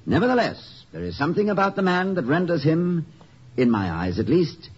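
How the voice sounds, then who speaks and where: A man's voice: on the high side, big pitch swings, brisk, darkish tone. A polite, wry college president in a vintage radio comedy. His melody swings widely as he hesitates through ornate phrases. The old recording is muffled, with a light hiss. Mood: mild, thoughtful. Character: mild, urbane, gently witty male college president. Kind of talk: 1950s radio comedy acting.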